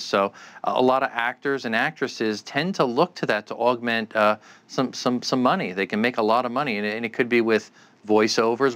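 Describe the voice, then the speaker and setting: A man's voice: mid-range voice, fairly bright tone, smooth. A man giving unscripted expert answers in an interview, explaining things with frequent stammered repeats. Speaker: expert guest, commentator.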